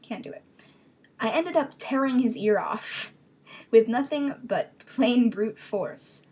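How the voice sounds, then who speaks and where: A woman's voice: fairly high pitch, takes it slowly, a little mellow, breathy edge. A woman giving a dramatic reading of her own childhood story, rambling into self-mocking casual asides.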